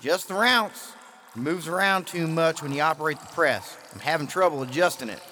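An actor playing a harried, folksy outlaw underling in a western radio drama, casual and a little put-upon. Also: male voice, a little high, lively intonation, fairly quick, pushed and bright, a little hiss or hum.

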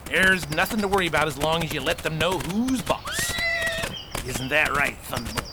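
An actor playing a brash, bullying outlaw ringleader in a western radio drama, with a bossy, folksy cowboy way of talking. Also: male voice, fairly high pitch, lively intonation, normal speed, heavy background noise.

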